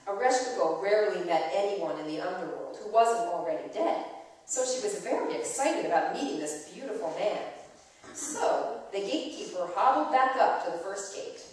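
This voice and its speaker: A woman telling a myth aloud in a dramatic reading, expressive and explanatory, as if to children. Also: medium pitch, normal speed. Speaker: storyteller. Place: church, performed live before listeners. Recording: very echoey church hall, distant microphone.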